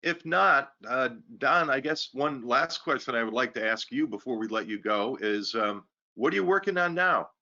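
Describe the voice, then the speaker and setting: Bright-voiced male host, lo-fi call. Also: mid-range voice, lively intonation, normal speed, few pauses. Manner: easygoing, with fillers. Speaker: event host. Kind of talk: casual moderating.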